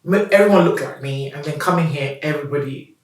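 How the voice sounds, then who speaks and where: A woman from Nigeria with a light Nigerian accent, a business founder telling her story in a candid interview, animated, laughing often and restarting her sentences. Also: deep voice, quick pace, a little hiss or hum. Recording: roomy, mic at a distance.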